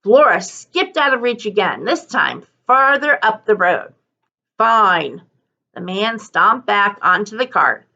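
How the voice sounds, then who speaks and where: Bright, slow-reading woman storyteller. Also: medium pitch, very animated, muffled recording. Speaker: teacher reading a book aloud. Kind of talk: expressive children's story narration.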